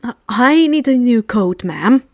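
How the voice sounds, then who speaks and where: A woman's voice: on the high side, expressive, unhurried, a little mellow, no echo, studio quality. Woman doing an awkward, eager teenage boy. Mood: eager, shy. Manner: hesitant, stammering, breathless with awe.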